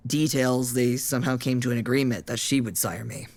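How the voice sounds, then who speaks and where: A man's voice: mid-range voice, fairly even intonation, brisk, natural voice. Nervous, hesitant young male vampire, played by an actor. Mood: hesitant, uneasy. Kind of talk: audio drama dialogue.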